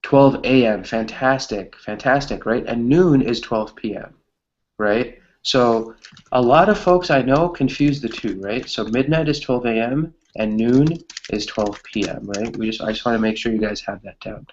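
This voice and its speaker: Through dull, lo-fi audio, a man of medium pitch teaches English grammar. He explains with simple examples and encourages his students. He often ends a phrase with a brief checking question. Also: moderate pace. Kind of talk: online group grammar lesson, explaining with examples.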